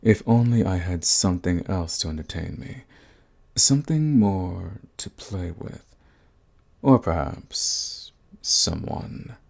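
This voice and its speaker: An actor playing a suave, sadistic vampire lord in an ASMR roleplay, with a theatrical, silky, condescending manner and a husky, gravelly voice. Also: male voice, sits low, lively intonation, exaggerated voice. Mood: smooth, bargaining. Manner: theatrical, silky, condescending endearments, drawn-out phrasing. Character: suave sadistic male vampire lord, predatory, mocking, possessive.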